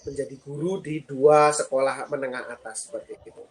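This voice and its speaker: A man in his thirties from Indonesia, a guest on a live-streamed interview, explaining things earnestly with frequent pauses. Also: medium pitch, steady tone. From Lampung, Indonesia. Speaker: guest, PhD student and lecturer.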